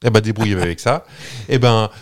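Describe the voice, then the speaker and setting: A man with a fairly low voice hosts a casual podcast. He tells his story in a playful, meandering way and keeps stopping on hesitations as he goes. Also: fairly quick. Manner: playful, rambling, many hesitations. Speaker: podcast host. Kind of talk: casual chat, telling a story.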